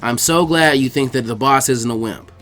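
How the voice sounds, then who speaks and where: A man voicing a smug magical clone of a teenage boy in an anime story, speaking in deadpan. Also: medium pitch, normal speed, soft background music, voice pushed down, close to an everyday voice.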